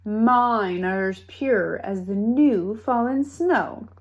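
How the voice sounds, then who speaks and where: A woman's voice: mid-range voice, slow pace. Woman putting on a proud, fussy clucking hen. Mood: condescending, boastful. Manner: clucking, cooing, emphatic.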